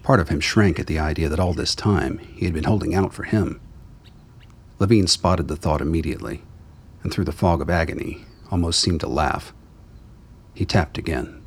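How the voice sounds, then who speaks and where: A man's voice: extremely low pitch, moderate pace, breathy edge. A man reading scripted dramatic narration for a radio play.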